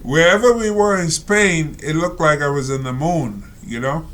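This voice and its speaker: A man reading out and commenting on a reader's letter, talking slowly and rambling with many fillers and asides. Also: a little high, fairly bright tone. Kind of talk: casual spoken commentary.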